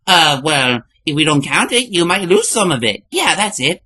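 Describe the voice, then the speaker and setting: A woman's voice: deep voice, big pitch swings, moderate pace, pushed and bright, breathy edge, exaggerated voice. A woman voicing a nervous, wisecracking male plumber hero in a comic book fan dub, hesitant and exclamatory. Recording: music behind the voice.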